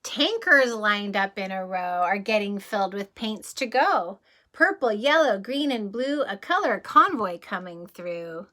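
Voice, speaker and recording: Kindergarten teacher type, woman, unhurried storybook read-aloud with a crisp, bright tone. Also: medium pitch. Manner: gentle, expressive storybook reading with questions to children. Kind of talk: children's picture book read-aloud.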